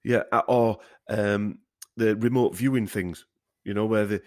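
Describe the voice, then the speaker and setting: Bloke hosting a podcast, lowish voice, rambles a bit, adds isn't it, dry close sound. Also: male voice, fairly even intonation, unhurried, a little mellow, no room sound. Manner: rambling, ends points with tag questions. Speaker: podcast host. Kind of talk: casual opinionated chat.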